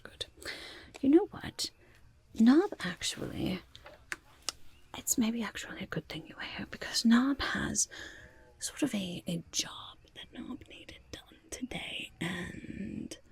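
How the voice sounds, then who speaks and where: A woman's voice: mid-range voice, full character voice. A woman voicing a sly, chatty goblin market peddler in a whispered ASMR roleplay, with wheedling salesmanship. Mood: coaxing, scheming. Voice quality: breathy, husky whisper with creaky edges. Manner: wheedling salesmanship, speaks of self in third person.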